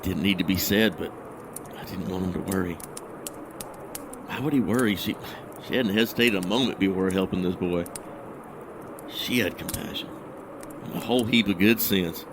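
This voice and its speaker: An actor playing a troubled, guilt-ridden husband in an audio drama, recounting a memory in a halting, hesitant, brooding monologue. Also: male voice, low voice, noisy background, close to an everyday voice. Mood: brooding, regretful.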